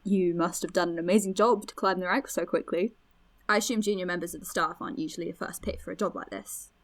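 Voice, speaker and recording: A woman's voice: fairly low pitch, steady tone, fast talker. A woman playing an eager, friendly young podcast host in an audio drama, chatty, encouraging and inquisitive. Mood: curious.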